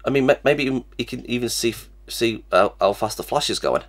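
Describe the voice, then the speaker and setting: A man's voice: mid-range voice, a little fast. A young man with a cold, sniffly, hosting a casual reaction vlog and stammering through many restarts from a small room at his webcam. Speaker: reaction channel host. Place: small cluttered room indoors.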